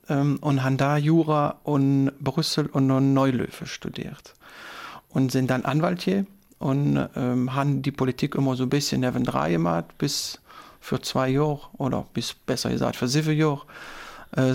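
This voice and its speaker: A man's voice: medium pitch, vocal fry, a little mellow. A man in his thirties from German-speaking Belgium, a politician guest on a radio show, speaking an East Belgian German dialect and explaining step by step with many hesitation sounds.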